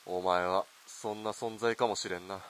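A man's voice: low voice, fairly even intonation, moderate pace, close to an everyday voice. A man playing a corrupt, callous army lieutenant colonel in a radio drama, haughty and commanding. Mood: contemptuous, angry.

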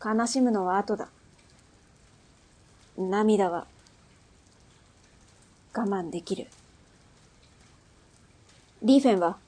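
An actress playing a tough, brusque female underworld boss and mother in a radio drama, speaking in a rough, mannish, commanding way. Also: medium pitch, very slow, long pauses, dark tone. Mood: grave, resolute. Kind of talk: Japanese radio drama acting.

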